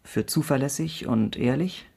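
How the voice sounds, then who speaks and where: An actor playing a courteous, persistent investigator in a period radio crime drama, asking clipped, formal questions. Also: male voice, medium pitch, normal speed, gravelly, darkish tone, slightly rough. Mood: probing, formal. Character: formal, persistent male investigator in 17th-century Paris. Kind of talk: German radio drama acting.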